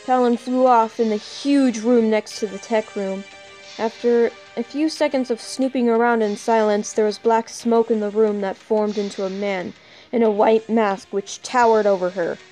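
A woman narrates a fan story in a fairly high voice. She reads from a script and trips over words now and then, with soft music underneath. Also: steady tone, normal speed, rough recording. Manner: stumbles over written words.

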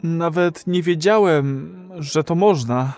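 A man's voice: on the high side, slow, darkish tone. An actor playing a timid, naive male client in an audio drama, hesitant and fumbling for words. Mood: unsure, curious. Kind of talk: Polish audio drama acting.

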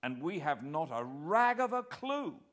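A British man voicing an excitable, quick-witted spy thriller hero in a storybook reading, emphatic and exclamatory. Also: on the high side, voice pushed up. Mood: alarmed.

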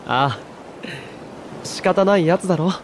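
A high-voiced, earnest teenage hero from a video game. His pitch moves a lot, though he speaks slowly in short, guarded, hesitant phrases. Steady ambient noise runs behind him. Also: rough recording. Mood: resolved, quiet. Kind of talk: Japanese video game cutscene acting.

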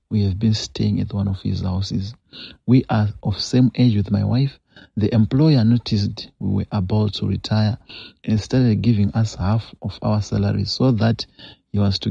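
A man's voice: fairly low pitch, vocal fry, mellow, husky, no room sound. A man with a Zimbabwean English accent hosting a show, reading a confession aloud and then giving earnest, scolding advice with repeated phrases. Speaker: host. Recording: dull lo-fi dry recording.

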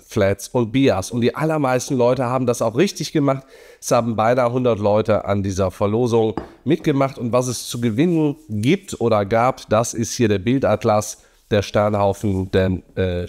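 A middle-aged man with a cold, sounding hoarse and nasal, hosting a casual astrophotography sky-preview video from his home study. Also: mid-range voice, dry recording, clean studio sound. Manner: lively, animated presenting. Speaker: astrophotography channel host. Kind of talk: casual presenting, sky preview and prize draw.

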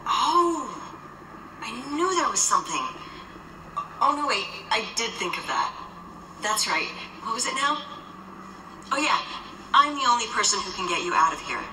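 An actress playing a smug, scheming monster hunter in a radio drama, breezy and mocking. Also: female voice, on the high side, sing-song, normal speed, pauses often, noisy background. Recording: echoey room, heard from a distance.

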